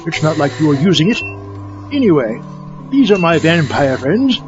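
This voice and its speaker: A breezy, unbothered mad doctor played by a man in a horror comedy. His voice sits fairly high and moves around briskly in pitch. Music plays clearly behind the slightly dull recording. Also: sing-song. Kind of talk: comedy horror audio skit.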